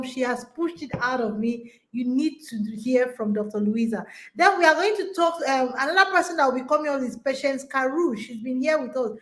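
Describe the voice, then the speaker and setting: A woman's voice: sits high, a bit bright. A woman in her forties hosting a conference and coaching women, talking emphatically and urging her listeners on. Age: 40s. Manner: emphatic and exhorting, with repeated rallying phrases. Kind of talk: motivational talk to an online audience.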